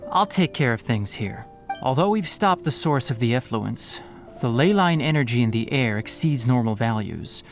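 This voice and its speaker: A man voicing a young, composed and responsible forest ranger scholar in a video game, measured and instructive, with music behind. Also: medium pitch, expressive, natural voice. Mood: firm, instructive. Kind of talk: video game character voice acting.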